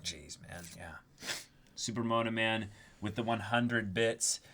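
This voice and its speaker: A man co-hosting a manga reading stream, talking casually in an emotive, halting and emphatic way. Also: lowish voice, pushed and bright. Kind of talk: casual livestream chat and reactions.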